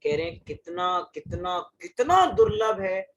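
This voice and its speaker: A man's voice: high voice, lively intonation, a little slow, fairly bright tone, slight background noise. A man giving a religious discourse on verses, asking the audience questions, answering them himself and repeating phrases for emphasis. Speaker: Jain scholar giving a discourse.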